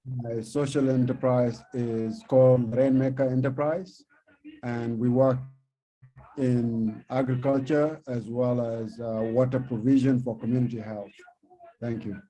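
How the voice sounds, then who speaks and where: A man speaks slowly on a video call. His tone is mellow and relaxed. He is measured, pausing on fillers. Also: mid-range voice, rough recording. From South Sudan. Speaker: social entrepreneur. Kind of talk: self-introduction on a video call.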